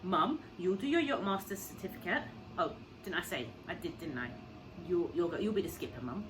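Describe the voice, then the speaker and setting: An excitable storybook dad played by a woman reading aloud, bursting with plans. The voice is breathless, persuasive and emphatic, leaping up and down in pitch. The recording has clear background noise. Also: fairly quick, lightly acted. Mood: eager, excited. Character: excitable, persuasive father full of big plans.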